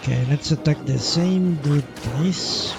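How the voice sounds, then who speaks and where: Slow-talking gravelly man streaming a game. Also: a little high, over music. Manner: self-deprecating, resigned, mildly profane. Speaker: livestream gamer. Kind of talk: casual live gameplay commentary.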